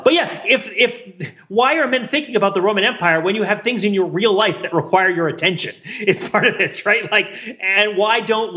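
Bright, high-pitched man rambling on a podcast. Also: highs cut off. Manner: rambling, self-questioning, wryly animated argument. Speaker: podcast co-host. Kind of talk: casual podcast discussion.

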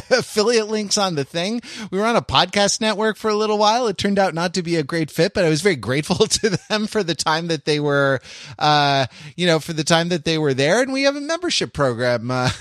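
A man's voice: high-pitched, a bit bright, no room sound. Guy hosting a chatty podcast, keeps stopping and restarting his sentences, dry close sound. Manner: halting, rambling, self-interrupting. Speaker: podcast host. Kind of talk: casual panel podcast chat.

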